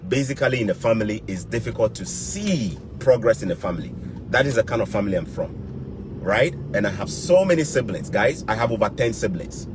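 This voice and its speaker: Man, Ghanaian accent, slightly low pitch, motivational vlog advice, emphatic repetition, noticeable background noise. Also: expressive, brisk. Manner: emphatic, repeats key words and phrases. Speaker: YouTube vlogger.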